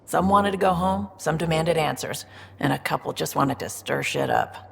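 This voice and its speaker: An actress playing a shrewd, wry space smuggler in a video game, with a low gravelly voice and a sly, self-assured way of talking. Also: female voice, moderate pace, a bit bright, exaggerated voice. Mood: frank, shrewd. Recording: reverberant room with faint background noise.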